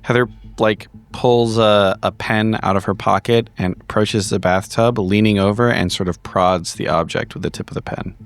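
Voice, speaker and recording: A man's voice: lowish voice, steady tone, moderate pace, hardly any pauses. A man improvising narration as a player in a tabletop role-playing game, analytical and precise with his words.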